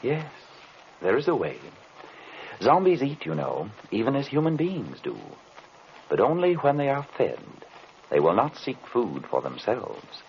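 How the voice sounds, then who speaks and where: Brooding, theatrical occult investigator, old radio drama. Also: male voice, mid-range voice, wildly swooping pitch. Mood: ominous, musing. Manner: formal, deliberate, theatrical, rhetorical questions. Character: enigmatic learned male occult investigator, courteous and brooding. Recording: muffled, lightly noisy vintage radio recording.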